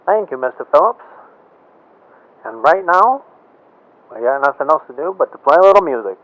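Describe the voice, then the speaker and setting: Male actor as station announcer: fairly high, lively, breezy. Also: moderate pace, rough recording.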